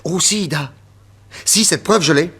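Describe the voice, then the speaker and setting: An actor playing a confident, witty detective inspector with a French accent, emphatic and theatrical in 1960s radio drama style. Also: male voice, fairly high pitch, normal speed, pushed and bright, breathy edge, full character voice. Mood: triumphant, playful. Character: confident, witty male detective inspector explaining his deductions.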